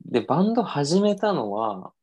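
Man rambling through a lively story. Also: on the high side, moderate pace, highs cut off. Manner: rambling storytelling with self-corrections and laughs. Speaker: guest. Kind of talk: casual podcast chat.

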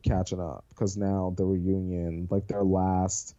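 Casual co-host with a mellow, slightly dull sound; animated and slangy. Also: low-pitched, slow pace. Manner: animated, slangy, swears and laughs often. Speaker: podcast co-host. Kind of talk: casual chat.

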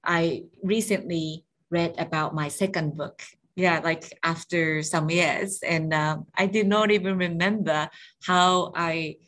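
Japanese woman guest, lowish voice, says you know a lot, thinking out loud. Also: steady tone, takes it slowly. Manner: thoughtful, many "you know" and "like" fillers. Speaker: guest, space education director. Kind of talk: casual interview conversation.

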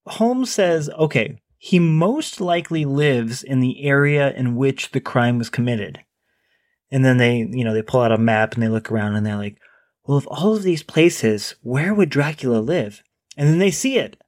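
A man's voice: mid-range voice, very animated, normal speed, darkish tone, dry recording, clean studio sound. A man co-hosting a podcast, talking casually about a book and reading a sponsor plug. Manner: conversational, reads a sponsor plug. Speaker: podcast co-host. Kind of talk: casual book discussion and ad read.

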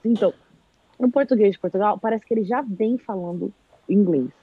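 A husky, fairly high-voiced woman from Brazil talks on a live chat, animated and lively as she tells her story and acts out quoted speech. The sound is dry and a little dull and lo-fi. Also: a little fast, no echo.

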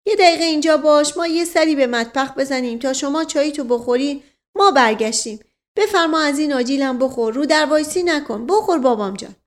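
A woman acts out an old country manservant from a comic audiobook. He is chatty and anxious, with a folksy, coaxing way of talking. The voice sits high and agitated. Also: animated. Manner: folksy, wheedling, repeats pet phrases. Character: anxious, talkative old rustic manservant, male. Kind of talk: audiobook character acting.

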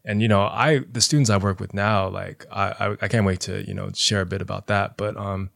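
A young man, a teacher, speaking as a podcast guest in a soft, reflective way, with many fillers like "you know". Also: on the low side, fairly even intonation, brisk, creaky, dry recording, studio quality. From Los Angeles area, US. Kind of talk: reflective interview talk.

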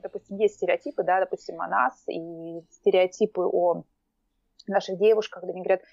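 A woman's voice: on the low side, fairly even intonation, fairly quick, vocal fry, a little mellow, no echo, lo-fi sound. A woman guest chatting in an interview, talking hesitantly with many fillers and restarts. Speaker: podcast guest. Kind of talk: casual interview chat. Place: lives in Norway.

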